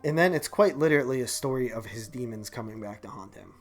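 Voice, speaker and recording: A man's voice: mid-range voice, quick pace, soft background music. A man co-hosting a podcast, reviewing movies in a casual, rambling, slangy way. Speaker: podcast co-host.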